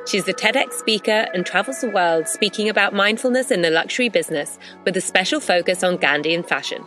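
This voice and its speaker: A woman hosting a podcast who gives a measured, upbeat scripted introduction and then asks interview questions. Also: medium pitch, fairly bright tone. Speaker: podcast host.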